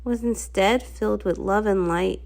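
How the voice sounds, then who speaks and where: A woman's voice: mid-range voice, normal speed, vocal fry. A woman narrating a comic read-along in the first person, with a theatrical, dramatic storytelling style. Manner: theatrical, expressive.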